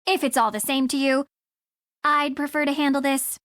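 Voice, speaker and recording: A woman's voice: high-pitched, flat delivery, moderate pace, fairly bright tone, no echo, clean studio sound. A woman playing a brash, sarcastic, impatient young sleuth in a video game, with a blunt, snarky delivery. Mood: dismissive.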